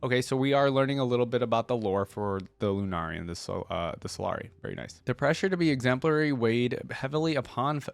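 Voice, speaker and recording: A man hosting a reaction video, casually commenting and reading lore aloud, thinking out loud with informal asides. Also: medium pitch, no echo. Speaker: YouTube reaction host.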